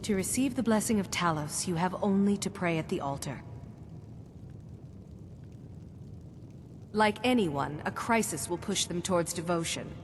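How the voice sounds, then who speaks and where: A solemn fantasy game priestess played by a woman, devout and matter-of-fact. Her voice sits a little low and rings in a hall, with faint rumbling noise behind it. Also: expressive, fairly quick, natural voice. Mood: earnest. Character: earnest, devout woman priest of an outlawed god. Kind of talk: fantasy video game acting.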